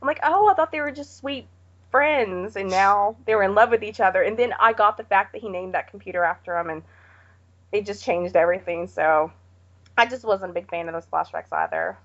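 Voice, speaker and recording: A woman's voice: mid-range voice, big pitch swings, a little fast, lo-fi sound. A woman talking informally as a podcast panelist in a casual, plain-spoken movie chat.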